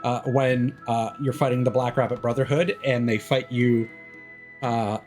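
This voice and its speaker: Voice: mid-range voice, steady tone, a little slow, a little mellow, faint music behind. A video game streamer giving casual, analytical commentary, rambling and often trailing off mid-thought. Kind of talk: casual livestream commentary while gaming.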